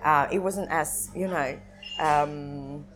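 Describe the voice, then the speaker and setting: Russian woman chatting with halting restarts. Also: sits low, fairly even intonation, over music, low-quality recording. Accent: Russian, with some northern English. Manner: candid, self-mocking, with halting restarts. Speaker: podcast guest. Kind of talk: casual chat.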